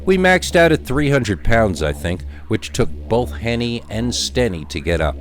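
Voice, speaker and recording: A man narrating a scripted first-person scary story in an expressive, emphatic storytelling manner, drawing out key words. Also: medium pitch, unhurried, faint music behind.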